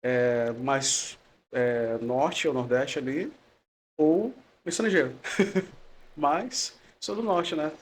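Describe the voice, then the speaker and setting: A young man from São Luís talks in a friendly, relaxed way, his Maranhão accent mixed with São Paulo speech. He laughs often and keeps adding little tags as he goes. A faint hiss sits behind his voice. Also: on the high side, very slow. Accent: Maranhão Brazilian Portuguese, mixed with São Paulo. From São Luís, Maranhão, Brazil. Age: young adult. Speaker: guest giving testimony. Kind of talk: relaxed podcast conversation.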